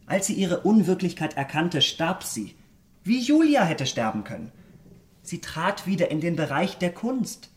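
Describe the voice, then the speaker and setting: A man's voice: sits high, very animated, a little slow. Theatrical, self-absorbed young man. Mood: impassioned. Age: young adult. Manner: theatrical, eloquent, declamatory. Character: vain, callous young aesthete, self-absorbed and passionate. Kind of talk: German radio drama acting.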